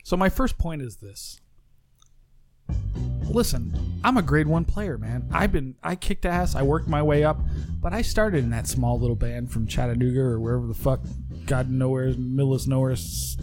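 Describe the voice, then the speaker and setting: A man's voice: mid-range voice, moderate pace, over music. A man co-hosting a casual comedic chat, arguing in a laid-back, profane way. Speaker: podcast co-host.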